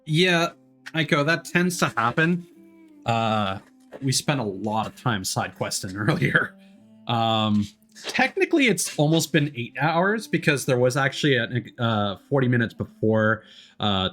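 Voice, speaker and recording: A man's voice: medium pitch, animated, measured pace, a bit bright, soft background music. A man streaming a game, chatting casually and hesitantly as he answers viewers and drifts into asides. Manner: hesitant, digressive, replying to viewers. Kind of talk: casual live-stream chat.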